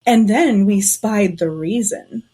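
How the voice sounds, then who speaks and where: A woman playing a shrewd, suspicious conspirator in a period audio drama, telling things in a knowing, deliberate way. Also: medium pitch, mellow, husky.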